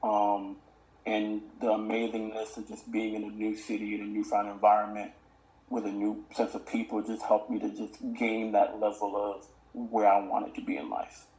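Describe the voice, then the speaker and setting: Low, flat-voiced young man, reflective. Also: poor audio. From born in Uganda, raised in the Washington DC area. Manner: measured, reflective, frequent um fillers. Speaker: podcast guest. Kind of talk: casual interview talk.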